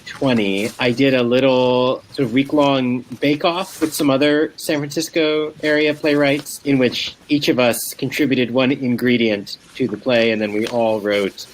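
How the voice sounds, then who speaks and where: A man speaking as a playwright guest, explaining conversationally in a halting, self-correcting way. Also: medium pitch, fairly even intonation, slight background noise, rough recording.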